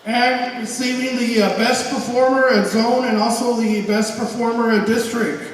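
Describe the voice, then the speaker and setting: Halting man presenting awards in an echoing hall. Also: very high-pitched, little pitch movement. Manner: halting, hesitant. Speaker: drama director presenting awards. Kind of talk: informal awards announcement. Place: school theater auditorium with audience. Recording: echoey theater hall, distant mic, crowd noise.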